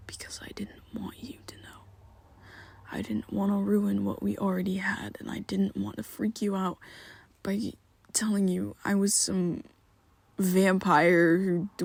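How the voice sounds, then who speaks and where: A man voicing a young vampire who is his listener's best friend, speaking in a soft, breathy half-whisper with hesitant restarts, in an ASMR roleplay. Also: high voice, no echo. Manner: hesitant, stumbling restarts, pleading.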